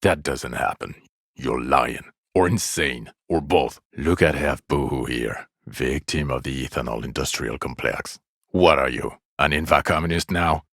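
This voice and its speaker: A bitter, world-weary policeman with an exceptionally deep voice. It crackles with gravel and sits close and dry. He scolds with heavy sarcasm and open contempt, like a partner who is utterly fed up. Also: unhurried, a bit bright, dry recording, full character voice. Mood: scornful, exasperated. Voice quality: gravelly, low. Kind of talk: video game character voice acting.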